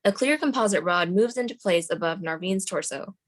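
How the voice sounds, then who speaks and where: A woman's voice: on the low side, expressive, fairly quick. A woman narrator reading screenplay stage directions aloud at a table read.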